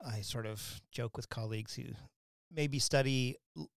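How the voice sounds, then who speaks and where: A man from Wyoming hosting an academic podcast, talking in a loose, rambling way with many restarts and quick laughs. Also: medium pitch, steady tone, dry recording, studio quality. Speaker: podcast host. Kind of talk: casual academic interview.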